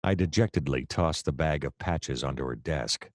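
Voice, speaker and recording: A man's voice: a bass voice, normal speed, no room sound, studio quality. A man playing a wry, self-pitying private detective in a noir radio play, narrating and speaking with theatrical flourish. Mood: dejected, wry.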